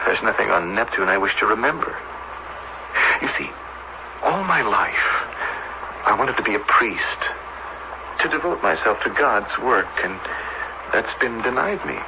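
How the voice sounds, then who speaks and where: An actor playing a world-weary, devout man offering himself as an experiment subject, in halting, earnest radio drama style. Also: on the low side, sing-song. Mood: solemn, wistful. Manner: halting, earnest, with repeated words and pauses. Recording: dull, noisy old radio drama recording.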